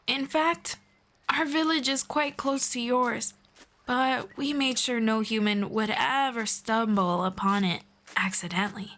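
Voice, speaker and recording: A woman playing a friendly, knowledgeable elf in a scripted roleplay, speaking to the listener in a formal, measured, gently explanatory way. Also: a little high, fairly even intonation. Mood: calm, confiding. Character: friendly, knowledgeable female elf guiding a human. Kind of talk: scripted roleplay audio, one-sided conversation with listener.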